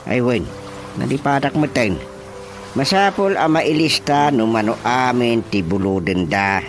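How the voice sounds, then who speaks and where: A man's voice: medium pitch, animated, a little slow, close to an everyday voice. An actor playing a practical village chairman with an Ilocano accent in a radio drama comedy. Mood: matter-of-fact. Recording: music bed behind the voice.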